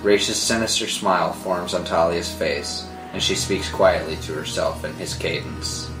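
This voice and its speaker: Male narrator for script read: dramatic delivery, deep register, roomy sound, score behind. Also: a little slow. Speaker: narrator reading stage directions. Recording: roomy sound with music underneath.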